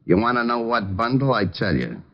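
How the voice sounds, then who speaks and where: An actor playing a gruff, menacing gangster boss who talks in ungrammatical tough-guy slang, in an old-time radio drama. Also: medium pitch, sing-song, moderate pace, close to an everyday voice. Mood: cool menace. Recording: muffled vintage radio recording.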